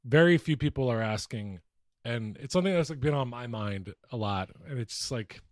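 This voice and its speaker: A man's voice: mid-range voice, expressive, normal speed, no echo, studio quality. Casual American man on a sports podcast. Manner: informal, sweary. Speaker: podcast co-host. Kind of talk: casual banter and sports chat.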